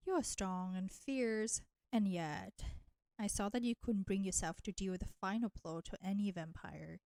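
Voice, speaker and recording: A woman's voice: mid-range voice, vocal fry, a little mellow, no echo, natural voice. A woman voicing a flirty, nurturing vampire in an intimate roleplay audio drama, teasing and coaxing the listener. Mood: soothing, wry. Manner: slow, teasing, coaxing, addressing listener with pet names. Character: flirty, teasing, nurturing female vampire, ancient enemy turned caretaker.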